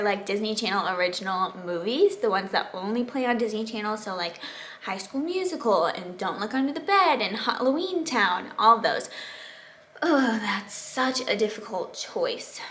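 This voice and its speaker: A woman in her twenties with an American accent, chatting casually to viewers as a vlogger in a bubbly, expressive, emphatic way. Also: a little high, slight background noise. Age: 20s. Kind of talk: casual question-and-answer chat to viewers.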